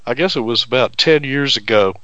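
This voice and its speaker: Middle-aged male co-host, friendly and chatty, smooth voice, muffled lo-fi sound. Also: medium pitch, a little fast, a bit bright. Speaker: radio co-host. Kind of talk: radio interview. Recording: dull lo-fi radio sound.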